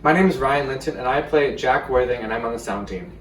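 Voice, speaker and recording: A man's voice: mid-range voice, fast talker. A young man presenting scripted educational narration. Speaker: presenter and student actor on a sound team. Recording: roomy echoey recording with music. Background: plucked guitar background music.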